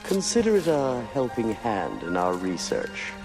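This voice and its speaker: A menacing, silky video game sorcerer villain. The voice is deep and unhurried, swooping theatrically through mock courtesy and condescension. Music plays clearly behind it. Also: male voice, wildly swooping pitch, full character voice. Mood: veiled threat. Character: suave, menacing male villain, a scheming researcher-sorcerer. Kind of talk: dramatic video game acting.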